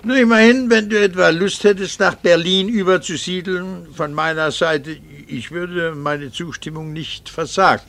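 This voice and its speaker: An actor playing a cool, bitter middle-aged doctor and husband in a radio drama, speaking formally with dry irony. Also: male voice, high-pitched, gravelly, fairly bright tone. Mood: hesitant, probing. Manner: formal, measured, dryly ironic. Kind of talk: 1960s German radio drama acting.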